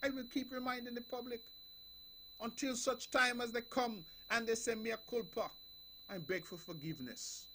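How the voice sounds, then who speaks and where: A man's voice: very high-pitched, moderate pace, sharp tone, a little hiss or hum. A Nevisian man speaking as a politician on the radio, in an emphatic, repetitive style with a preaching cadence.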